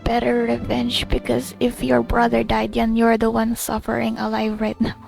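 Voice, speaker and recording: Female gameplay commentator, casual and reactive, slightly high creaky voice over game music. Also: monotone, moderate pace, a little mellow. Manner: reactive, musing aloud. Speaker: gamer playing a story quest.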